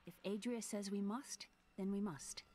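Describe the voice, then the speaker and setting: A woman's voice: mid-range voice, moderate pace, warm tone, a little hiss or hum, natural voice. A woman voicing a determined, trusting young scholar in a fantasy video game, speaking earnestly. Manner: earnest, clear-spoken.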